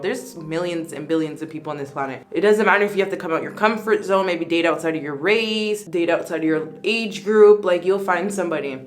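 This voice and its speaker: A young woman in her late twenties talks through her skincare routine in a loose, rambling vlog chat. Her pitch sits in the middle of the range. She fills her sentences with "like" and jumps up in pitch when something excites her. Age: 20s. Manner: chatty, rambling, many "like" fillers. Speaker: beauty vlogger. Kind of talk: casual chat while doing skincare.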